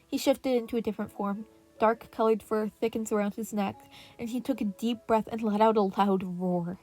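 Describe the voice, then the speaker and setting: A woman's voice: medium pitch, steady tone, normal speed, dark tone. A woman reading scripted fan-fiction narration as a podfic narrator, with relaxing piano music behind her. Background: relaxing piano and birdsong music.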